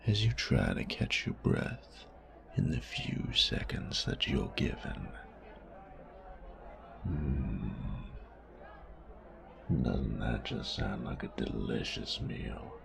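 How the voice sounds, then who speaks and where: A man playing a menacing, sadistic bandit in an audio roleplay, crude and mocking, with a gravelly, taunting drawl. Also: rumbling low, slight background noise, exaggerated voice. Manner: taunting, drawling, drops to breathy murmurs. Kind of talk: audio roleplay drama acting.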